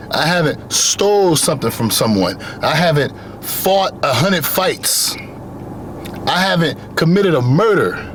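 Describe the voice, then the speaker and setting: A man's voice: a little high, a bit bright, slight background noise. A young man giving an earnest motivational monologue, driving his points home with repeated phrases. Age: young adult. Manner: earnest, repetitive emphatic phrasing. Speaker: vlogger.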